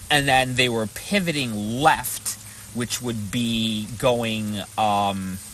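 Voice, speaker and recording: A man's voice: mid-range voice, a bit bright, a little hiss or hum, rough recording. A man telling a story casually to camera in an unscripted, rambling way, griping and swearing as he goes. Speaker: vlogger recounting a protest he attended.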